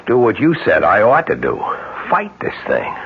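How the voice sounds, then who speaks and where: An actor with an American accent playing an earnest, determined innkeeper husband in an old-time radio drama. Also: male voice, lowish voice, huge pitch swings, normal speed, creaky, loud music behind the voice, full character voice. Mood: resolute. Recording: muffled radio recording, faint noise.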